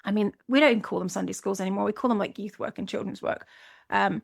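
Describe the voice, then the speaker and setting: A woman's voice: medium pitch, fairly even intonation, quick pace, creaky. A Scottish woman hosting a solo podcast, speaking in an earnest, reflective way with frequent restarts. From Scotland. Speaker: podcast host.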